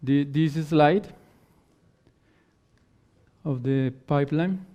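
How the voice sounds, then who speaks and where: A man whose first language is not English, giving a technical conference talk and answering questions in halting phrases that he often restarts. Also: on the high side, warm tone. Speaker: conference speaker. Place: conference hall stage. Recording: dull lo-fi conference recording.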